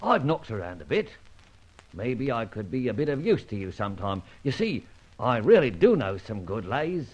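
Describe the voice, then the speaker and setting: An actor playing a cool, wily detective with a breezy, cheeky delivery in an old-time radio drama. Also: male voice, medium pitch, sing-song, moderate pace, husky, lo-fi sound. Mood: defiant bluffing. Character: cool, wily male detective bluffing crooks. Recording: noisy vintage radio recording with clicks.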